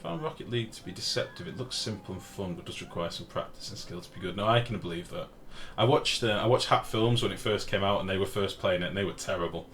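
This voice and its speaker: A man with a deep, booming voice giving casual, sweary live game commentary as a streamer and chatting with viewers. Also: animated, fast talker, hardly any pauses, a bit bright. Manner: informal, sweary, reads and answers chat. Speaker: game livestreamer. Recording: clear background noise.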